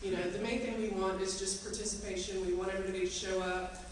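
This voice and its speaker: A woman's voice: medium pitch, level tone, fast talker. A woman, giving a chatty, earnest talk to a room of educators with many ums and you-knows. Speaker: speaker at a teachers' association meeting. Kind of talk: casual talk to an audience. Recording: roomy, echoey hall from far off with ambient noise.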